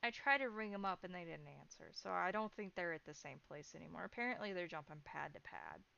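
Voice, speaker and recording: Woman tabletop player, casual and hesitant improvised dialogue, fry in a forward, bright voice. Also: fairly low pitch, very animated, highs cut off. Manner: halting, informal, conversational. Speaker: tabletop role-play player. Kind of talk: improvised in-character tabletop role-play chat.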